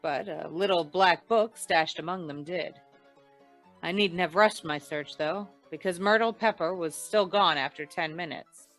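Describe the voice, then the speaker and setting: A woman's voice: on the low side, a little slow, fairly bright tone, faint music behind, poor audio. A woman playing a wry, hard-boiled insurance investigator in an old-time radio drama, with dry, tough delivery. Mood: wry, tense narration.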